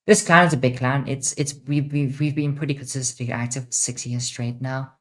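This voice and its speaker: A young woman with a mixed international accent, answering chat questions on a casual livestream, blunt and quick to swear. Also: a bass voice, fairly even intonation. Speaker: streamer.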